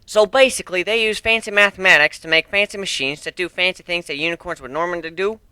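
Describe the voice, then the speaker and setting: A man voicing a brash, boastful young female pegasus, loud and punchy, in a cartoon fan story reading. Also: high-pitched, fast talker, clean tone, no room sound, voice pushed up. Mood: flippant.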